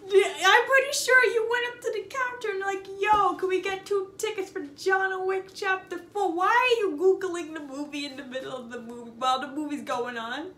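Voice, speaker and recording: A young woman casually telling an anecdote in a chatty, animated, sarcastic way, stretching words for emphasis. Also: very high-pitched, moderate pace, hardly any pauses. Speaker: storytime vlogger. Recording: roomy echo, noticeable background noise.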